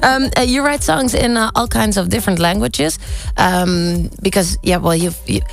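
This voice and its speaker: A woman's voice: mid-range voice, moderate pace, gravelly, faint music behind. Dutch-accented woman hosting casual radio. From Netherlands. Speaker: radio host. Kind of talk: casual interview chat. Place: small radio studio. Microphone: close studio broadcast mic.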